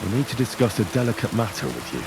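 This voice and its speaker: An actor playing a young medieval man calmly and firmly questioning suspects in a video game. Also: on the low side, fast talker, creaky, loud music behind the voice. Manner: calm, firm interrogating.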